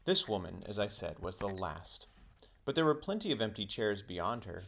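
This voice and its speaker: A man reading a children's book aloud as a teacher would, in expressive storybook narration. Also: fairly low pitch, moderate pace, gravelly.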